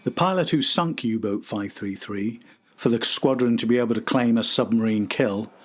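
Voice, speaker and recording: A man's voice: medium pitch, normal speed. A man reading scripted narration as the host of a history documentary podcast.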